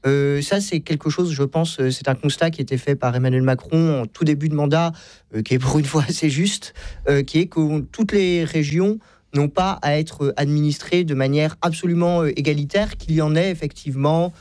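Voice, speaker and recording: A man's voice: on the high side, steady tone, moderate pace, darkish tone, no room sound. A man speaking off the cuff as a radio debate guest, hesitant and often stammering over repeated words.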